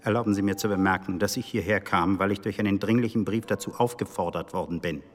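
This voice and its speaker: An actor plays a portly, stolid male informer in a radio drama. His voice sits low, and he answers tersely, with sullen self-defence. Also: fast, close to an everyday voice. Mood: stiffly protesting. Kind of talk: German radio drama acting.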